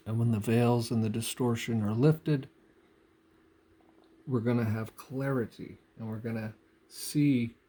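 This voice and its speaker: A man giving an unscripted spiritual monologue in halting, deliberate phrases with pauses and repetition. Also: medium pitch, fairly even intonation, mellow. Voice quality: frequent throat clearing. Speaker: spiritual speaker.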